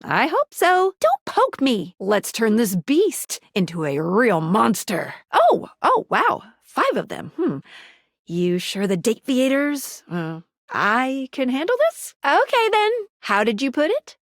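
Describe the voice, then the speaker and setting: High, bubbly young game guide. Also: female voice, huge pitch swings, takes it slowly, no echo, clean studio sound, exaggerated voice. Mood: hesitant, surprised. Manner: bubbly, playful, quirky, earnest. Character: perky upbeat young female guide in a dating game. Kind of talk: video game character voice acting.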